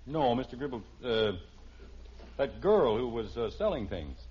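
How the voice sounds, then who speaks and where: Flustered, swooping sitcom dad. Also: male voice, mid-range voice, very animated, unhurried, slightly rough. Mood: dry, puzzled. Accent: American. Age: middle-aged. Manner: hesitant, stammering. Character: flustered suburban father, middle-aged, embarrassed and evasive. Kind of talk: 1950s radio sitcom acting. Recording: muffled old radio recording, faint noise.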